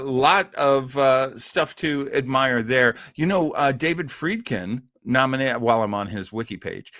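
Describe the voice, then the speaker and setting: A man hosting an old-time radio show livestream, chatting casually and enthusiastically in a rambling, halting way full of tangents. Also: medium pitch, fairly bright tone, no echo, clean studio sound.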